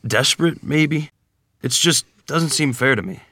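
A man acting an earnest, awkward rural Missouri ferryman groom from the 1920s at a screenplay table read, plain-spoken and halting. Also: mid-range voice, pushed and bright, dry recording, studio quality. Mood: uneasy, earnest.

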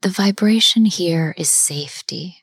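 Soft-spoken woman leading a guided meditation; husky, unhurried, half-whispered delivery. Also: fairly low pitch, no room sound. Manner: slow, soft, often half-whispered with long pauses.

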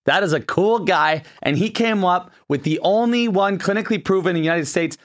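A man's voice: high-pitched, brisk. A man hosting a podcast, joking crudely and reading an ad in casual chat. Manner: brash, joking, crude banter. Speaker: podcast host.

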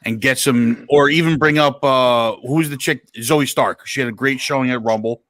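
A man's voice: mid-range voice, moderate pace, fairly bright tone, dry recording. A man giving blunt, slangy opinions in casual sports podcast conversation. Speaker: wrestling podcast panelist.

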